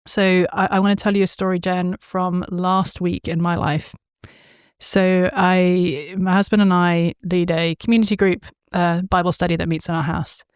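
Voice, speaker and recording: A woman's voice: lowish voice, little pitch movement, normal speed, smooth, no room sound, clean studio sound. Chatty woman in her forties. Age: 40s. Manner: chatty storyteller, laughs often, many fillers. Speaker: podcast host. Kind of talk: casual interview chat.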